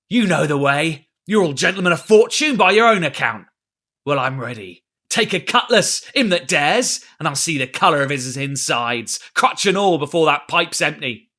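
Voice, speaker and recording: A man voicing a crafty, blustering old male pirate captain with gruff sea-dog talk and roaring threats. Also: medium pitch, pushed and bright, natural voice. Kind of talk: audiobook character reading.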